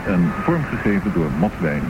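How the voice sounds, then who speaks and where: A man's voice: sits low, sing-song, normal speed, heavy background noise. A man reading a scripted credits announcement for a radio drama. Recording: dull radio sound under loud music.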